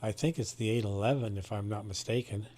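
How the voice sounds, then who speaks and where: A man's voice: lowish voice, a little fast, loud music behind the voice. A man chatting casually as a ham radio operator, easygoing and reassuring.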